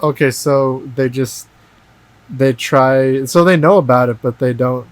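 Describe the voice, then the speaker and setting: Deadpan man trading quips. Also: medium pitch, unhurried, no room sound. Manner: dry deadpan quips, short agreeing replies. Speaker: podcast co-host. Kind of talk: casual chat.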